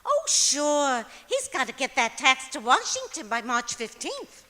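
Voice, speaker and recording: A woman's voice: high-pitched, sing-song, unhurried, pushed and bright, full character voice. A woman acting as a comedian's scatterbrained, innocently daffy wife in an old-time radio comedy on stage. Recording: echoey auditorium stage recording.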